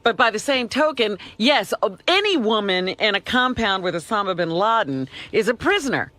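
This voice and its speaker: A woman co-hosting a radio talk show in a studio, chiming in with quick comments. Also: medium pitch, sing-song, a bit bright, dry recording. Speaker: radio co-host.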